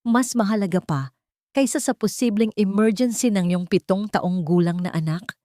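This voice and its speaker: A woman with a Filipino accent voicing a calm, accusing male lawyer in an audio storybook. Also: medium pitch, no room sound, clean studio sound, natural voice. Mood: cold, accusing. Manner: measured, accusing. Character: calm, cold, cutting male courtroom lawyer.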